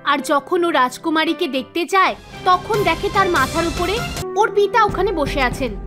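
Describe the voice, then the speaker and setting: Very high-pitched woman storyteller over music. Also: normal speed. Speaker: storyteller narrator. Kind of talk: scripted storytelling narration for an animated tale. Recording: music under the voice. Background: background music.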